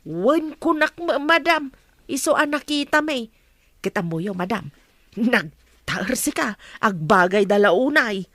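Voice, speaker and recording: Actress doing nosy gossip lady in an audio drama, highish voice, dry recording, pushy and eager. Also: lively intonation, a little slow, fairly bright tone, no echo, heavily acted. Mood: gossipy, confiding. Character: gossipy, eager female informant deferring to madam. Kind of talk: Ilocano audio drama acting.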